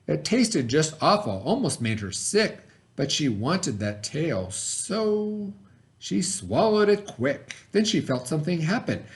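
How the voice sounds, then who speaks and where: Slow, very animated male storybook reader. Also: fairly high pitch. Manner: theatrical, dramatic pauses. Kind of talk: expressive read-aloud of rhyming children's story.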